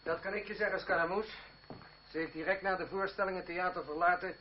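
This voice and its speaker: An actor playing an outspoken, defiant member of a theatre troupe in a muffled old Dutch radio play. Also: male voice, high voice, steady tone, fairly quick, a little hiss or hum, lightly acted. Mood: informing. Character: troupe actor, informing and defiant toward boss. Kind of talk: radio drama acting in Dutch.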